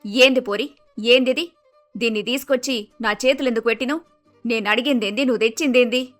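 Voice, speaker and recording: A woman's voice: on the high side, brisk, a bit bright, soft background music, lightly acted. A woman voicing a blunt, bossy middle-aged village mother-in-law with an emphatic Telangana Telugu accent, in a cartoon story. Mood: annoyed. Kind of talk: acted dialogue in animated moral story.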